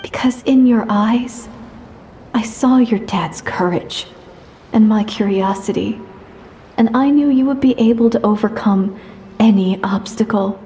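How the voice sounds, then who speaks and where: An actress playing a loving, wryly teasing adventurer mother in a video game, gentle and tender in delivery. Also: on the high side, unhurried, slight background noise, natural voice.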